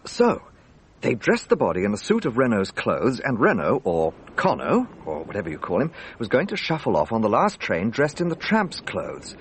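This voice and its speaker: A man's voice: medium pitch, huge pitch swings, moderate pace, a little hiss or hum. An actor playing a detective's puzzled, impatient male friend in a radio drama, questioning in an incredulous way. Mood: working it out.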